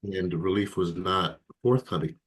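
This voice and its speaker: Deep-voiced man from Ghana, reflective. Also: a little slow. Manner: reflective, hesitant, many fillers and soft laughs. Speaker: interview guest. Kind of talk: candid personal testimony.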